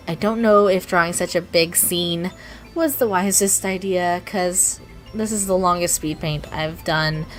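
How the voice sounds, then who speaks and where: A young woman with a cold, her voice raspy and sniffly, rambling casually and self-deprecatingly over her art video with many ums and restarts. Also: lowish voice. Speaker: artist narrating her speedpaint.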